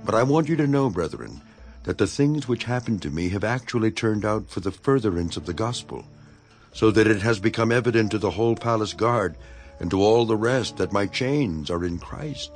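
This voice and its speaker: An actor playing an earnest, devoted imprisoned apostle in a dramatized scripture reading, speaking in a solemn, measured manner. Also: male voice, on the low side, animated, faint music behind. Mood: earnest resolve. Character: Apostle Paul, imprisoned, earnest, devoted elder writing to his flock.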